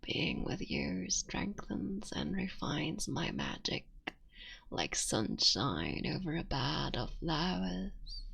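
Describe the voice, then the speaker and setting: A woman voicing a tender, motherly female fox-spirit witch in an ASMR roleplay, speaking softly and often whispering. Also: on the low side, creaky, slightly rough, heavily acted. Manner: soft and intimate, often whispering.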